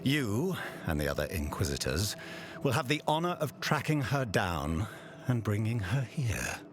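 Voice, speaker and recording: An imperious, cruel fantasy ruler with a low voice that swings widely in pitch. He speaks grandly and with menace, as a master of holy inquisitors would, over prominent music. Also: big pitch swings, measured pace. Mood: quiet menace. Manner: grandiose, theatrical in proclamation, menacing in private. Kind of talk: dramatic fantasy game acting.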